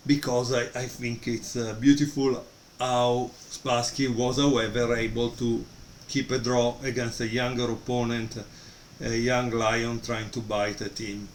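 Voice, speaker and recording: Guy rambling through a book review, lots of uhs, middle-pitched voice, faint noise in the room. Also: flat delivery, measured pace, reverberant. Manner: hesitant, many fillers. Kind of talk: casual video review. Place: room at home, storm outside.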